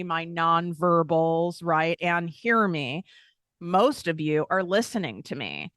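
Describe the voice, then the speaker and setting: A woman's voice: lowish voice, fairly bright tone, clear, resonant tone, dry recording, studio quality. A woman hosting a motivational business podcast, talking candidly and with energy, acting out doubtful inner voices and laughing at herself. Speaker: podcast host and business coach. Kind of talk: motivational solo podcast talk.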